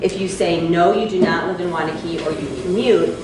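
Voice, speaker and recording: A woman's voice: on the low side, brisk. A woman leading a public housing meeting, walking the group through a survey with frequent fillers. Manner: explanatory, many fillers. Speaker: meeting facilitator. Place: church hall meeting. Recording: echoey room from far off with noise.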